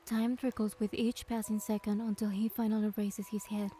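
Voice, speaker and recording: An actress playing a gentle, affectionate young heroine, sweet and wistful, speaking and narrating in a romance game. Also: female voice, medium pitch, little pitch movement, moderate pace, slight background noise, close to an everyday voice. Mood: soft, dreamy. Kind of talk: romance game voice acting and first-person narration.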